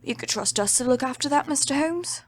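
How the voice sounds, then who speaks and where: Confused cop inspector guy, woman doing him, high and blunt. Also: expressive, brisk. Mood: bewildered. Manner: blunt, bewildered. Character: eager, puzzled male police inspector. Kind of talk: audiobook dramatic reading.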